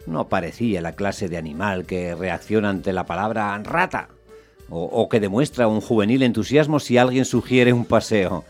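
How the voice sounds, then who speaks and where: A man plays a reflective storyteller in a radio play. He narrates in a low voice with dry, observant, literary phrasing. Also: normal speed, soft background music, close to an everyday voice. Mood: wry, reflective.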